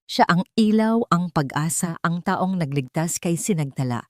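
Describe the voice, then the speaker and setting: Low-pitched woman narrating a sentimental story. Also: lively intonation, moderate pace, no room sound, studio quality.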